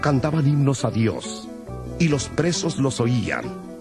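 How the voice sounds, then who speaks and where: A man delivers scripted dramatic scripture narration. His voice is mid-pitched, with music playing behind him. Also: wildly swooping pitch, slow.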